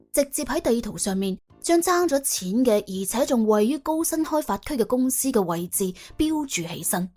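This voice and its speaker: A woman narrating a comic crime audiobook in a dramatised, colloquial storytelling style. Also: on the high side. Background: soft background music.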